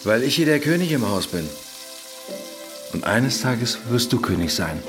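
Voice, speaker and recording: A man's voice: mid-range voice, sing-song, a little slow. An actor playing a cocky, crude middle-aged husband and father who thinks himself king of the house, in naturalistic radio drama style. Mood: casual, boastful. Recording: music behind.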